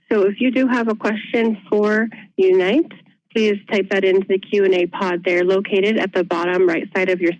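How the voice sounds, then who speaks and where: A woman moderates a webinar Q&A and gives clear instructions at a medium pitch. The sound is dry and muffled, like a conference call. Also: a little mellow, no room sound.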